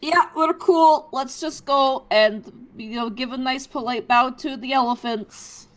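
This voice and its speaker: A woman's voice: high-pitched, a little slow, low-quality recording. A woman playing a tabletop role-playing game, casually describing actions and speaking in character.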